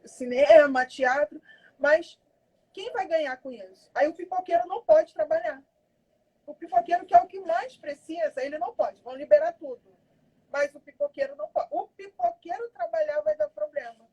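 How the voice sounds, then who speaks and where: A woman speaks in a high but mellow voice. She talks with urgent passion, leaning hard on key words. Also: lively intonation, normal speed, low-quality recording. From Brazil. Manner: impassioned, emphatic. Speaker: community activist guest. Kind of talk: conversational interview.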